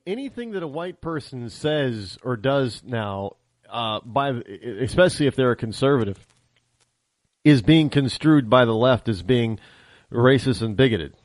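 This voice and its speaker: A man talks on his own radio show from a home studio, in a wry, needling way, tripping over the starts of his sentences and chuckling at his own jabs. The room sounds dead and dry, and his pitch sits in a middle range. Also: no echo. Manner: wry, teasing, stammers and restarts, chuckles. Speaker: radio talk show host. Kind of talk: political talk radio monologue.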